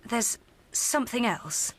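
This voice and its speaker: An actress playing a gentle, concerned, well-bred English woman in a radio drama, polite and earnest. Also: fairly high pitch, expressive, slow pace, poor audio. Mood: apologetic.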